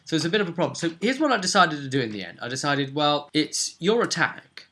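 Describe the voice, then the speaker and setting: A man's voice: a little high, animated, fast, bright, forceful tone. A man in casual conversation, recapping a tabletop roleplaying session as game master and explaining things chattily, thinking aloud.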